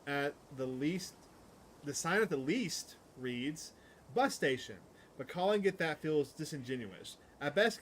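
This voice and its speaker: A man's voice: a little high, a bit bright, a little hiss or hum. A man livestreaming a game, chatting casually and reading text aloud in a chatty, easily amused way. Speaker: streamer playing a visual novel. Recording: music playing behind the voice.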